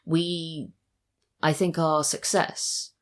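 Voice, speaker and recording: A woman's voice: deep voice, level tone, drawn-out words. A woman chatting casually as a podcast guest, thoughtful and hesitant, often trailing off. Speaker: podcast guest, audio drama writer. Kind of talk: casual interview chat.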